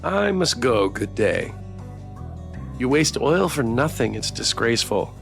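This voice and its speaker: A man voicing a blunt, selfish traveler in a dark fantasy game, talking in an ominous manner. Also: medium pitch, sing-song, measured pace, gravelly, faint music behind. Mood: curt.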